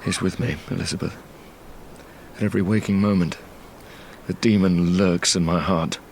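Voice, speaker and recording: Brooding, gravelly, low-voiced tormented gentleman. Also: fairly even intonation, moderate pace, slight background noise. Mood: vengeful, haunted. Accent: British. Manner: grave, brooding, literary delivery. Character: tormented young gentleman scientist, brooding and guilt-ridden. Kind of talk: audio drama narration and dialogue.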